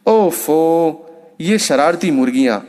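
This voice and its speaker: A man's voice: on the high side, expressive, takes it slowly, darkish tone. A man voicing a fussy old village granny with a fretful, grumbling manner in a storybook tale. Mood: grumbling, worried.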